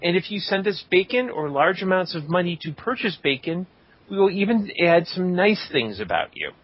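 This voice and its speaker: A man with a slightly high voice hosts a podcast, reading shout-outs in a casual, joking style. The recording is a little dull and lo-fi. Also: sing-song, moderate pace. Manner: joking, tongue-in-cheek. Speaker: podcast host.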